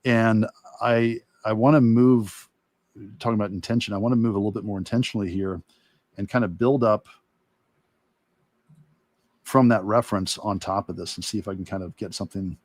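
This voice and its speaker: A man giving a casual, reflective demo talk as an art instructor while drawing, with halting, rambling explanations. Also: on the low side, fairly even intonation, a little mellow, no echo. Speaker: illustrator and course instructor demonstrating.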